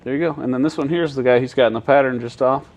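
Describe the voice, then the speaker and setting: A man's voice: mid-range voice, fairly even intonation, very fast, vocal fry, crowd noise, poor audio. A man working as an air traffic controller, giving a casual, plain-spoken walkthrough and often checking that the listener follows. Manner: plain-spoken, explaining step by step with checking questions. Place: inside an airport control tower.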